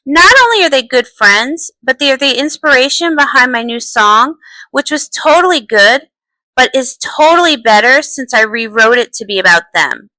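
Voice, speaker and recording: A woman's voice: sits high, animated, normal speed, sharp tone, no echo, rough recording. A woman voicing an earnest, bubbly young pony princess and pop star in a cartoon comic dub. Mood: excited. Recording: faint music behind.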